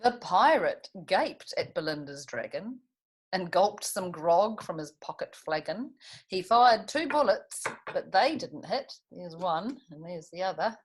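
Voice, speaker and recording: Husky, low-voiced woman reading stories to children. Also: a little slow. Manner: expressive, chatty asides with tag questions. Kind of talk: animated picture-book read-aloud with asides to young listeners.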